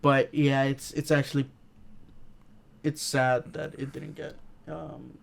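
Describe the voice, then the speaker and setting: Higher-voiced guy, creaky, casual talker. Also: level tone, slow. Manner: informal, slangy. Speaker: podcast co-host reviewing films. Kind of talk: casual chat and storytelling.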